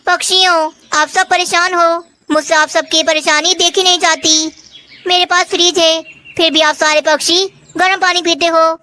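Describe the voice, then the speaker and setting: A woman's voice: very high voice, level tone, moderate pace, pushed and bright. A woman voicing a scheming, falsely kind talking bird in a children's cartoon, with exaggerated delivery. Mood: sweetly persuasive. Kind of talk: Hindi children's cartoon dialogue.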